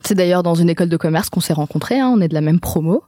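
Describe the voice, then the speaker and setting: A young adult woman from Syria, hosting a podcast and reading a prepared introduction in a clear, measured way. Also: lowish voice, mellow, dry recording, clean studio sound. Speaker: podcast host. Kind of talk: scripted podcast narration.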